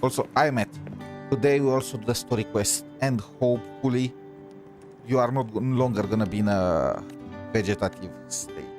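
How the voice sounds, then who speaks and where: A man hosts a casual game livestream with clear music playing behind him. His voice sits at an ordinary middle pitch. He rambles, thinks out loud and circles back to repeat his own phrases. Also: moderate pace. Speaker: game streamer, host.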